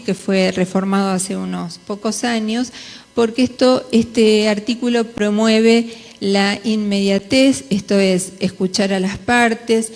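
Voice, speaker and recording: Slow-paced Argentine woman in a reverberant hall. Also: medium pitch, darkish tone. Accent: Argentine Spanish. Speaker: conference speaker, jurist. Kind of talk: conference presentation. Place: large conference hall.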